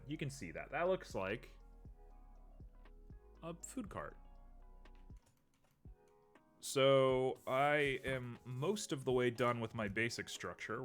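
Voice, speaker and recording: A man's voice: medium pitch, a bit bright. A man streaming live while building a 3D model, thinking aloud with frequent ums, a little sniffly. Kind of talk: casual live-stream commentary while working.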